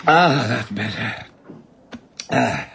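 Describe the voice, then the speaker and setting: A man's voice: medium pitch, big pitch swings, extremely slow, gravelly, a bit bright, slightly rough, heavily acted. An older man with a British accent playing an elderly judge in a radio drama, formal and old-fashioned in his phrasing. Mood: weary, courtly. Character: elderly male judge, courtly, fussy, genteel. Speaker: elderly judge arriving at a hotel.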